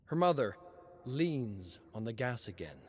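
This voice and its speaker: A man reading scripted narration as a storyteller, solemn and measured, with dramatic pauses. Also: medium pitch, very animated, a little mellow, husky.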